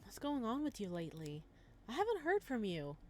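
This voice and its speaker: A woman's voice: fairly high pitch, huge pitch swings, fairly quick. Woman playing a breezy, easygoing young friend. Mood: friendly, casual.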